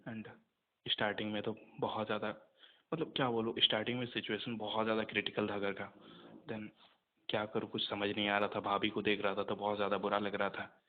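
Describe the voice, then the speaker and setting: Young adult male, subdued and hesitant, low register, very even delivery, muffled call audio. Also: brisk. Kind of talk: casual phone conversation. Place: phone call. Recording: muffled phone call recording.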